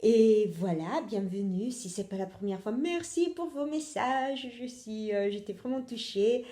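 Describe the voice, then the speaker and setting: A woman's voice: a little high, lively intonation, a little slow, mellow, echoey room. A young woman from Italy with an Italian accent, hosting a casual knitting podcast in French, hesitant with many fillers and self-corrections.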